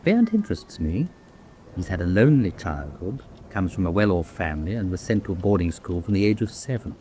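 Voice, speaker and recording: Prison-camp doctor, very deep, animated intonation, opinionated, explanatory, self-assured, radio drama. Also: male voice, brisk. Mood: analytical. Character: self-assured amateur psychologist, prison-camp medical officer. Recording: faint background noise.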